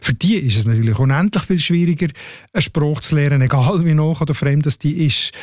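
A man's voice: on the high side, fairly quick, a little mellow, dry recording, clean studio sound. A man with a Swiss German accent, chatting on radio as the language expert, who explains patiently and often tags his sentences with a questioning "oder?".

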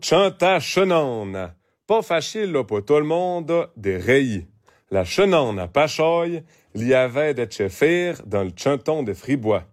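Slow, animated man from Gruyère. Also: medium pitch. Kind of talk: dialect speech clip.

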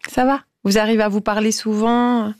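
Woman, radio host, encouraging questions, gently probing, dry close sound. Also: on the high side, flat delivery, normal speed, no room sound. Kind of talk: radio interview.

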